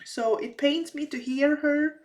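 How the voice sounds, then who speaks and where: A woman talks casually as she crafts, her speech slow and unhurried. Her voice sits a little high and carries a slight rasp left over from a cold. She often restarts her sentences and fills the gaps with small hesitations. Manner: slow, halting, many fillers and restarts. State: slightly raspy, recovering from a cold. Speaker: crafting vlogger. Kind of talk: casual chat while crafting.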